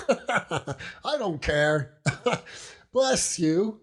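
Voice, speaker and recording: A man's voice: high voice, animated, very slow, husky, voice pushed up, exaggerated voice. A man voicing a doting, simple old fisherman with a rural English dialect in an audiobook. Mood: doting, tender. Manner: rambling, folksy, chuckling warmly. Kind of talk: audiobook character acting.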